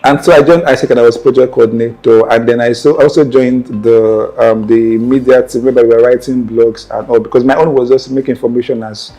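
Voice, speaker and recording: Earnest young Nigerian man, mellow voice. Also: medium pitch, steady tone, fairly quick, few pauses, soft background music, low-quality recording. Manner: earnest, meandering, self-correcting. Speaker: interview guest. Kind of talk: personal storytelling in conversation.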